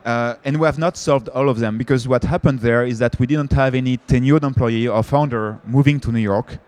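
A man's voice: medium pitch, a little fast, a little mellow. French-accented man on a panel. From France. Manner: hesitant, many fillers and restarts. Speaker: panelist, company founder. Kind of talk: conference panel discussion.

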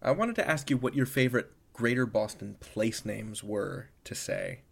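A man's voice: on the low side, lively intonation, normal speed, creaky, breathy edge. A man working as a podcast host, asking questions in a casual conversational way. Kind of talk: casual interview.

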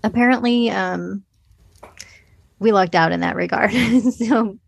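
A woman's voice: mid-range voice, slow, vocal fry, slightly rough, dry recording. A woman co-hosting a podcast, discussing films casually in an informal, hedging, conversational way. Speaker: podcast co-host.

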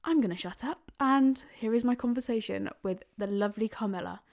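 A woman's voice: on the high side, brisk, darkish tone. A woman hosting a podcast, bubbly and chatty, who swears casually while she introduces a guest and interviews her. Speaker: podcast host.

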